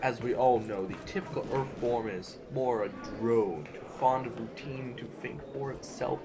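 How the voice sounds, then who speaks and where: An actor playing a stiff, officious sovereign of a Martian council in an audio drama, speaking formally and pompously. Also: male voice, mid-range voice, normal speed, noisy background, natural voice. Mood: indignant, contemptuous.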